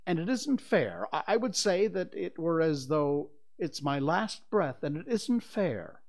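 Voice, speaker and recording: Man acting a pompous, blustering father, swooping pitch. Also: very animated, unhurried. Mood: indignant, blustering. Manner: pompous, indignant, self-justifying. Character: pompous, blustering, cowardly father of young children.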